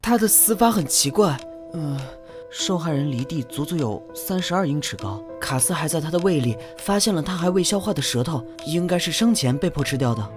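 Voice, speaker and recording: A woman's voice: low-pitched, lively intonation, fairly quick, music in the background. Nervous young male trainee. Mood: flustered. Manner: flustered, earnest, stammering. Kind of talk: Chinese animated drama voice acting.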